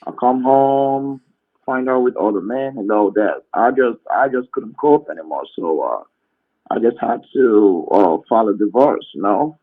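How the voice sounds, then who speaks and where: A man on a voice call, talking hesitantly with many fillers and soft trailing endings. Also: mid-range voice, expressive, measured pace. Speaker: scammer on a voice call. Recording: tinny phone line.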